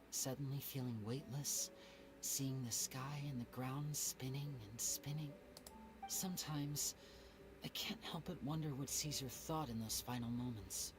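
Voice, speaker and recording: Young magician, a man voicing him, smooth and soft-spoken, middle-range voice, thoughtful delivery. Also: medium pitch, lightly acted. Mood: reflective. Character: young male stage magician, suave and reflective. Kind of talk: video game voice acting.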